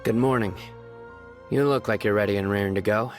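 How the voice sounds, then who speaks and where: A man voices a young warrior judge from a video game, stern and earnest, in a low register. The delivery is formal, measured and deadpan. Music plays behind the voice. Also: close to an everyday voice. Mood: calm, courteous. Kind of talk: scripted video game character voice lines.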